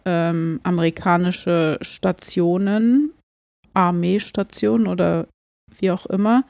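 Slow-paced female podcast co-host, dry close sound. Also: mid-range voice, gravelly, dark tone, dry recording. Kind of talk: casual explanatory podcast chat.

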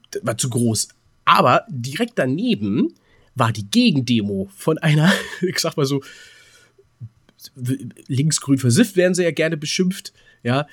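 A man tells a story as a podcast co-host. His pitch sits in the middle and the sound is close and dry. He talks casually, rambles, and re-enacts who said what. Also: husky, dry recording. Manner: rambling, re-enacts remembered dialogue. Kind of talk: casual chat, telling an anecdote.

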